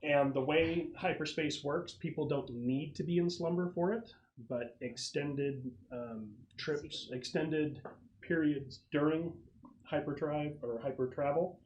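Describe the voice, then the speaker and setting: A man acting as game master, explaining the setup casually in a halting, thoughtful way. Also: on the high side, a little mellow, dull audio, slight background noise. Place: casual online or home gaming session.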